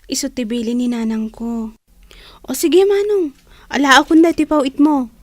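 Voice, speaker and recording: A woman's voice: high-pitched, normal speed, no echo. A woman acting a meek, obliging young schoolgirl in a radio drama, with an Ilocano accent. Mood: earnest, meek.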